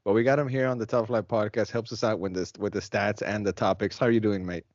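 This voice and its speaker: A man's voice: fairly low pitch, fairly even intonation, fast talker, a little mellow, no room sound. A man hosting a podcast with an upbeat, loose, slangy delivery. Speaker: podcast host. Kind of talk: casual chat. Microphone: video call. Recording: dull lo-fi sound.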